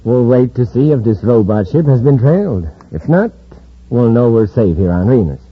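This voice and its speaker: Smug space villain boss, husky voice, calculating, bossy, muffled old radio show. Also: male voice, medium pitch, expressive, moderate pace. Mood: smug, commanding. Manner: commanding, smug. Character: calculating, smug male leader of space thieves. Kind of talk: 1950s radio drama acting.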